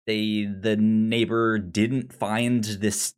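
A podcast co-host talking casually about film in a halting way, often repeating words and syllables. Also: mid-range voice, level tone, smooth.

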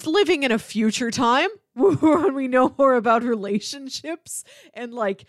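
A woman's voice: sits high, a little slow, dry recording. Female podcast co-host, conversational and analytical, emphatic asides, dry acoustic. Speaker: podcast co-host discussing a film. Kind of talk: casual conversational film analysis.

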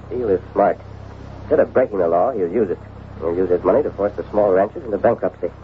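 A resolute western hero played by a man speaks low and fast with grave authority. It is old-time radio acting, heard through a tinny, band-limited recording. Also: a little hiss or hum, close to an everyday voice. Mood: grim, determined.

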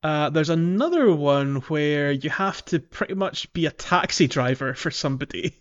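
A man's voice: on the high side, animated, moderate pace, highs cut off. A man hosting a casual gaming podcast, chatting playfully through a game walkthrough. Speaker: podcast host.

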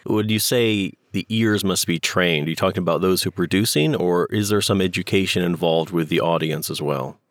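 Low-voiced guy hosting a podcast, laughs easy, dry close sound. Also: brisk, hardly any pauses, no echo. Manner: friendly, laughs easily, jokey. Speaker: podcast co-host. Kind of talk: casual interview hosting.

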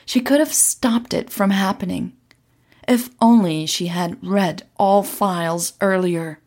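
A woman narrating scripted audio fiction as a podcast storyteller, in a measured, dramatic way. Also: mid-range voice, a little mellow.

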